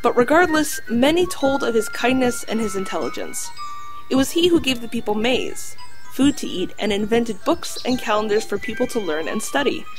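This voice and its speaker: A woman voicing a learned talking dog in an audio drama, lecturing precisely and calmly on lore. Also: fairly high pitch, moderate pace, over music, lightly acted. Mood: lecturing, composed. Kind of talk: audio drama acting, explanatory storytelling.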